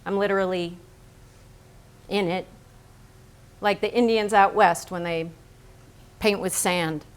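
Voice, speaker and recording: A woman acting in a staged reading as a blunt, self-assured American male painter, speaking in deliberate, declarative phrases. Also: mid-range voice, steady tone, smooth, lightly acted. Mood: intense, absorbed. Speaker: stage actor.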